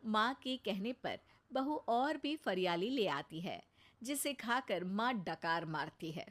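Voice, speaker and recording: A woman's voice: mid-range voice, lively intonation, slow pace. A woman narrator reading a scripted cartoon moral story.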